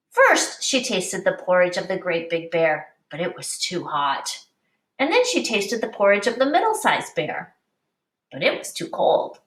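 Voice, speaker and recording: A woman teacher reading a picture book aloud to young children in an expressive, instructive way, pausing to explain and addressing them directly. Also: mid-range voice. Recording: roomy, echoing, distant microphone.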